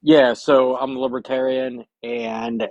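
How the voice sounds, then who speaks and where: A man from Indiana calling into a live stream, answering in a hesitant, halting way. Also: mid-range voice, flat delivery, darkish tone, no echo. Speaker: caller. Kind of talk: casual call-in chat.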